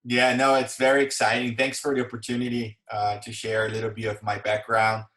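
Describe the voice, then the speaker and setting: A man with a Brazilian accent and Portuguese as his first language, talking haltingly about his career as an interview guest. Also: medium pitch, monotone, a bit bright. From southern Brazil. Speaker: podcast guest, sports executive. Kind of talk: conversational interview, telling own career story. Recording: roomy, echoey sound.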